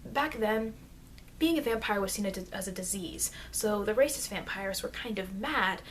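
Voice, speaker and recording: A woman playing a chatty, informal immortal vampire teacher in an ASMR roleplay, rambling hesitantly and confidingly, with soft dips into whispering. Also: mid-range voice, lively intonation, normal speed, natural voice. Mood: chatty, earnest. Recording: noisy amateur microphone.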